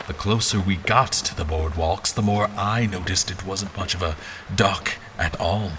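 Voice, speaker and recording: Deep-voiced, brooding general. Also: male voice, fairly bright tone, a little hiss or hum. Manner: brooding, deliberate, literary. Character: troubled, sardonic male general narrating his memories. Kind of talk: dramatic scripted first-person narration. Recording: music bed under narration.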